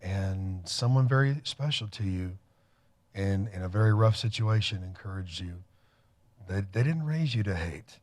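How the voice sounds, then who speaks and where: A man's voice: sits low, expressive, moderate pace, a little mellow. A man hosting a TV studio interview, affirming and encouraging his guest. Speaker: TV host.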